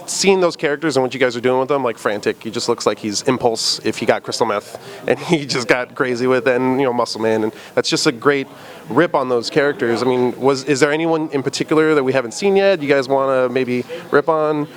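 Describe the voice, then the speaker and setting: A man's voice: medium pitch, fairly quick. A man interviewing guests in a casual, enthusiastic, chatty way, speaking as a fan. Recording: crowd noise outdoors.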